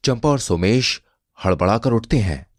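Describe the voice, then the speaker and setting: A man narrating a scripted comic moral tale as an expressive storyteller. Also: fairly low pitch, normal speed, no room sound, studio quality.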